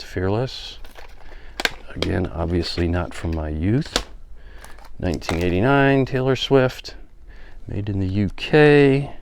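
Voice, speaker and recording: A man's voice: lowish voice, vocal fry, darkish tone, slight background noise. A middle-aged man giving casual, unscripted show-and-tell commentary, with offhand muttered asides to himself. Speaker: vlogger showing a music collection.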